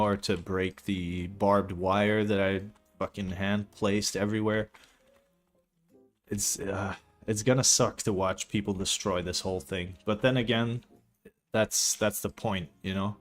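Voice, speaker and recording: A young man from Belgium whose first language is not English, chatting casually and rambling, swearing now and then, as he walks viewers through a map he is building. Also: fairly low pitch. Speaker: streamer building a game map. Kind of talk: casual livestream commentary.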